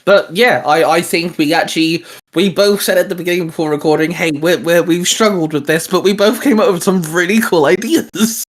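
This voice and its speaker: A man's voice: sits high, steady tone, brisk, few pauses. A young man co-hosting a casual geeky chat, rambling with lots of fillers and restarts. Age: young adult. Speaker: channel co-host.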